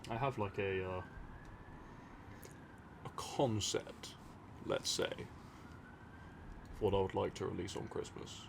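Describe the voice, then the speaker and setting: A man from Europe talking in an unscripted, musing, unhurried ASMR ramble to his listeners. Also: sits low. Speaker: audio roleplay creator talking to listeners. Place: by an open window at a desk. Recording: clear city noise with distant traffic. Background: city ambience, vehicles, sirens.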